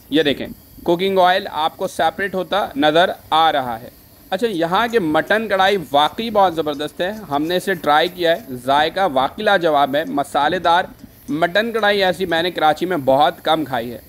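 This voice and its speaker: A man giving an instructional recipe voice-over as a food vlogger. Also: on the high side. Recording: faint background noise.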